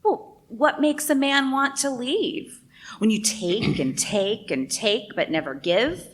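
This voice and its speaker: A woman's voice: fairly high pitch, lively intonation, slow pace, a little hiss or hum. A woman playing a bitter, jealous radio host in a radio drama, theatrical and pointed in her delivery. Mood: insinuating, arch. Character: bitter, insinuating female radio host, jealous older ex-wife. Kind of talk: dramatic radio play acting.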